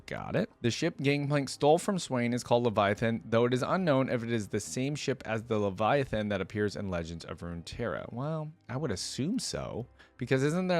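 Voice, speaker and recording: A man with a fairly low voice gives casual commentary as a video host. He reads aloud and reacts informally, in a dry sound free of room echo. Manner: informal, chatty.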